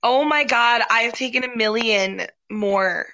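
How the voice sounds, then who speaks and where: A woman in her late twenties joining as co-host, playful and quick to get defensive, swearing a lot. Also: a little high, pushed and bright, muffled recording. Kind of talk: casual chat.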